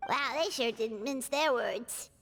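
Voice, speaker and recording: A woman voicing a small, eager floating fairy companion who chatters in a bubbly, excitable way. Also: fairly high pitch, a little slow. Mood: reading aloud. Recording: game audio with roomy reverb.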